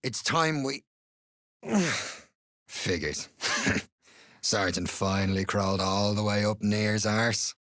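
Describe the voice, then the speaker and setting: A man's voice: fairly low pitch, slow pace, long pauses, a bit bright, dry recording, clean studio sound. A man voicing a cynical, grumbling underling soldier in a video game, sardonic in manner. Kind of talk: video game character acting.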